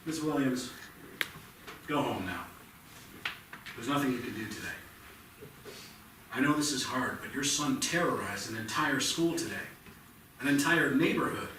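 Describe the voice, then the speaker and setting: A man's voice: medium pitch, lively intonation, normal speed, pauses often, natural voice. A man acting a firm detective, very echoey. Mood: grave, insistent. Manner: firm, procedural, blunt. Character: firm, matter-of-fact male police detective. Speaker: actor. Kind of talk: staged play reading. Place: theatre stage. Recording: echoey theatre, distant mic, noisy background.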